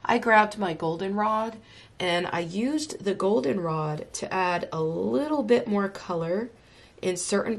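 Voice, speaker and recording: Congested woman narrating a coloring tutorial. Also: medium pitch. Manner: enthusiastic, chatty, talks while drawing. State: congested, recovering from illness. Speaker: art tutorial host. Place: home craft desk.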